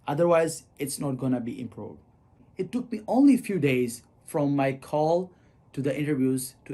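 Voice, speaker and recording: A man's voice: medium pitch, dark tone. A young man from Pakistan with a Pakistani English accent, talking to camera and giving earnest, encouraging advice to students. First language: not English. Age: young adult. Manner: earnest, encouraging, addresses viewers as you guys. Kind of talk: casual advice talk to camera.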